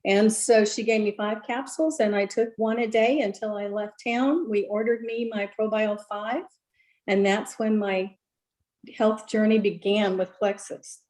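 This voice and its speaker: A woman in her sixties from Louisiana, earnestly sharing a personal testimony on a video call, with many pauses and fillers. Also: mid-range voice, steady tone, darkish tone, poor audio. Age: 60s. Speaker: guest giving a testimony.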